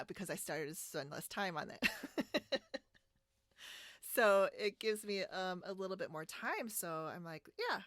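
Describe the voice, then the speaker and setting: A woman hosts a relaxed podcast conversation over a video call. Her voice sits at an average pitch, and she is chatty and giggly. The sound is dry, with very little room in it. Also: no echo. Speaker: podcast host. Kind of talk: casual video podcast chat. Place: home, remote video call.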